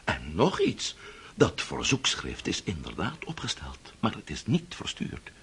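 An actor playing a scheming, impatient older prince in a radio drama, coaxing and condescending with a rough, hoarse voice. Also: male voice, low-pitched, wildly swooping pitch, unhurried, fairly bright tone, full character voice. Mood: impatient, insistent.

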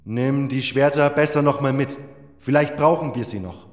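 A man's voice: mid-range voice, moderate pace, natural voice. A man playing a practical fantasy adventurer in an audio drama, speaking in an everyday way. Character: practical young fantasy hero leading his friends. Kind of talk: fan audio drama acting. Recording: echoing hall reverb over music.

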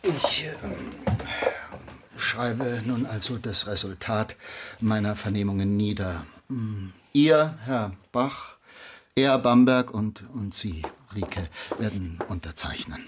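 A man acting an ambitious, officious young magistrate in a German period radio drama, speaking formally and pedantically in a husky voice. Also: lowish voice, slow pace, a little hiss or hum. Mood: hesitant, official. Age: young adult. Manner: formal, pedantic, self-important.

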